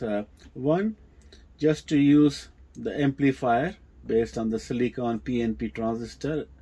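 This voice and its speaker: A man with a non-native English accent giving a casual, unscripted demonstration in a halting, hesitant way with repeated words. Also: medium pitch, mellow, breathy edge. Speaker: presenter of vintage audio equipment videos.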